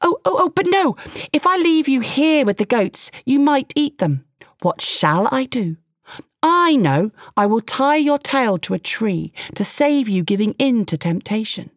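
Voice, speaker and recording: A woman doing a squeaky, fawning, crafty man. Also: sing-song, dry recording, studio quality, exaggerated voice. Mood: fawning, mock-flustered. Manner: flustered, ingratiating, high and quick. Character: sly fawning man tricking a tiger.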